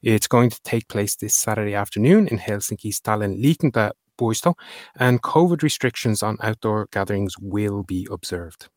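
A man's voice: lowish voice, lively intonation, normal speed, no echo, clean studio sound. A man with an Irish accent co-hosting a weekly news show, reading headlines and chatting with his co-host. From Ireland. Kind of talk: scripted news reading and casual banter.

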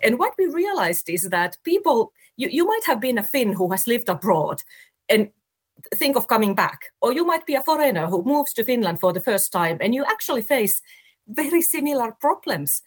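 Female researcher, higher pitch, breathy, animated explanation. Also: moderate pace. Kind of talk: interview answer.

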